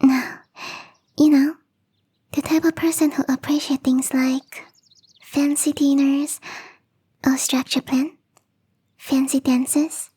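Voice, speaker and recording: Woman voicing a young catgirl gardener, ASMR roleplay, high-pitched, unhurried, breathy half-whisper, shy, playful. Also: flat delivery, dark tone. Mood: curious, teasing. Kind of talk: ASMR roleplay, intimate one-sided dialogue.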